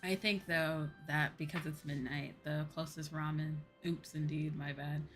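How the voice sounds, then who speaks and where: A woman's voice: low voice, level tone, unhurried, a bit bright. A woman livestreamer chatting casually and politely while gaming, musing to her audience. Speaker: livestreamer and host. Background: music.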